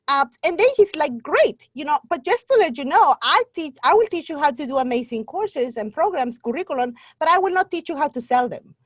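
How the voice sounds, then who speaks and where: A woman's voice: sits high, no echo. A middle-aged woman from Guatemala with a Spanish accent, a native Spanish speaker, telling her story as an interview guest in an earnest, talkative way. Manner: earnest, talkative, laughs easily. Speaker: guest, online Spanish teacher.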